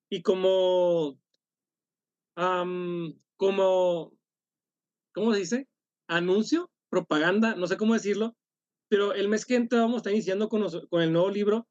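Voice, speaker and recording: A man leading an online study workshop, speaking haltingly, correcting himself and apologizing after coughs. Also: sits high, a little mellow, dry recording, muffled recording. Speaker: workshop leader.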